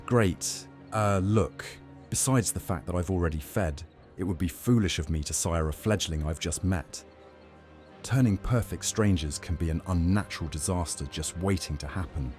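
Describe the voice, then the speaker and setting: A man playing a young Roman vampire master in an audio drama, measured, teasing and faintly threatening. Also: sits low, darkish tone, soft background music, lightly acted. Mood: amused, casual. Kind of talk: audio drama roleplay acting.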